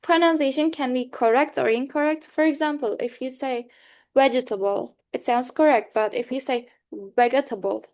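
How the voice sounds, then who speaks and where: Young turkish woman giving a class talk, kinda high voice, stops and restarts a lot. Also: normal speed, a little mellow. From Turkey. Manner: hesitant, many fillers and restarts. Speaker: student presenter. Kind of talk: class presentation.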